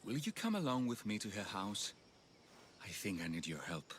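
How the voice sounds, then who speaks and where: An actor playing a gentle, anguished young Greek healer in a video game, earnest, hesitant and pleading. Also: male voice, fairly low pitch, huge pitch swings, moderate pace, slight background noise. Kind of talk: video game cutscene acting.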